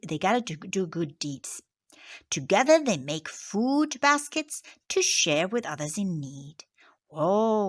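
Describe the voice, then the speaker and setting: A woman teacher reading a picture book aloud to children, expressive and dropping into soft whispers on headings and asides. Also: mid-range voice. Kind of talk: children's story read-aloud.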